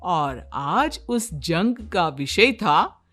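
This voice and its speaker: Slow-paced woman telling a cartoon story. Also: lowish voice, very animated, fairly bright tone. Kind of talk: scripted cartoon story narration.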